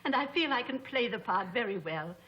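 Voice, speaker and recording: Sweet American grandmother actress in the part, high and very lilting, deliberate timing, old sitcom. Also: expressive, highs cut off, close to an everyday voice. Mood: eager. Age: older.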